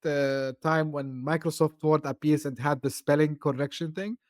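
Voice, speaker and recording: A man's voice: a little high, fairly even intonation, normal speed, darkish tone, no room sound, clean studio sound. A man hosting a podcast interview. English is not his first language, and he talks loosely with many "you know" fillers. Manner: talkative, loose phrasing full of "you know". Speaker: podcast host. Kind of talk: casual interview chat.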